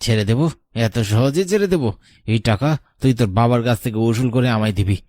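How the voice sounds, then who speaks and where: A cruel, greedy husband, acted by a man in a cartoon melodrama. He bullies with open contempt and threat, at an average male pitch and with a dry sound. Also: lively intonation, fast, darkish tone, no room sound, lightly acted. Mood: bullying angry. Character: cruel, greedy, abusive husband demanding money.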